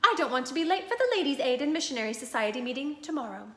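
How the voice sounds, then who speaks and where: A woman's voice: sits high, animated, fast talker, bright, forceful tone, a little hiss or hum, voice pushed up. A woman reading aloud, voicing a thrifty, worried housewife who scolds sharply. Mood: fretful. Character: fussy thrifty housewife, middle-aged.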